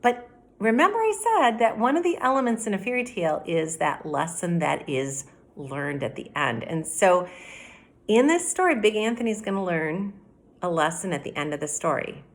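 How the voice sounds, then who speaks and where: A middle-aged woman, a teacher giving a read-aloud mini lesson, explaining things directly to children in an animated way. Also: medium pitch, normal speed. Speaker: elementary teacher. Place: indoor room at home by a window.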